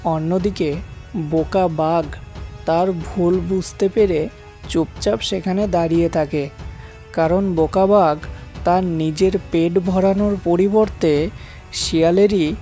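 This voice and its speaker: A woman storyteller with a slightly low, mellow voice reads a children's fable very slowly. Faint music plays behind her narration. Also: fairly even intonation.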